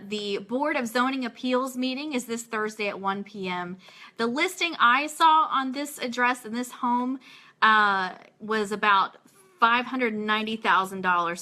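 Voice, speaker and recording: A woman's voice: a little high, bright, forceful tone. A woman hosting a radio show livestream in a casual, chatty, informal way.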